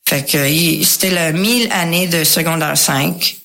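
An English-speaking woman tells her personal story casually, with slangy English words mixed in and pauses to search for words. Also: low voice, gravelly, fairly bright tone. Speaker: guest sharing a recovery testimony.

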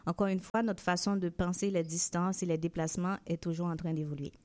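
A woman's voice: on the low side, steady tone, fairly quick, a little mellow. A woman giving articulate, prepared-sounding answers as a guest in a panel interview. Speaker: interview guest, aerospace industry representative.

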